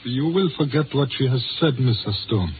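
A man's voice: mid-range voice, animated, moderate pace, close to an everyday voice. An actor playing a stern, fearful Chinese restaurant-owning father, speaking formally and sententiously in a 1950s radio drama. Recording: noisy, tinny 1950s radio recording.

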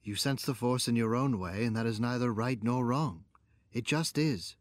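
A man playing a caring, gently wise young older brother in an audio drama. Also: medium pitch, moderate pace, dry recording, studio quality, lightly acted. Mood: gentle, reassuring.

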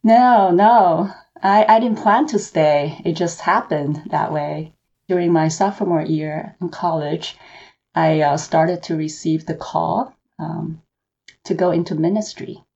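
A woman from Hong Kong, an author and seminary professor, answering interview questions in a measured, reflective way. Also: low-pitched, vocal fry, warm tone, dull audio.

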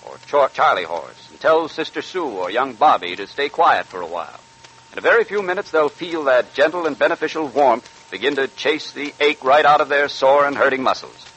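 A man's voice: medium pitch, fairly bright tone, slight background noise, poor audio. An American man announcing on 1940s radio in a polished, scripted advertising style. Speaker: radio announcer reading a sponsor ad.

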